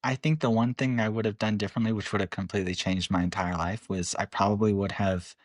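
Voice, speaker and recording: A man's voice: fairly low pitch, fairly even intonation, quick pace, no echo, clean studio sound. A man in his late twenties, a podcast guest, talking candidly and reflectively with frequent laughs. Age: 20s. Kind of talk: casual interview.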